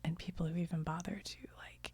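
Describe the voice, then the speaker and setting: A middle-aged woman who chats gently in a soft ASMR whisper, often trailing off. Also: on the low side, flat delivery, fairly quick. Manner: gentle, chatty, laughs softly, trails off. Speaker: video creator.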